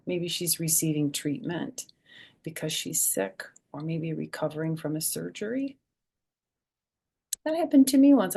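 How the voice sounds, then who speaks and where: A woman with a fairly low, gravelly voice leads a storytime for children. She reads picture-book rhymes expressively and explains things in simple, chatty language. There is a noticeable creak and rasp in her delivery.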